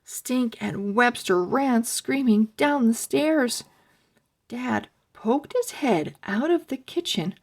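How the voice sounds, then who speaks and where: A woman reading a children's book aloud in an expressive, deliberate storytelling manner. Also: on the high side. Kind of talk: read-aloud storytelling for kids.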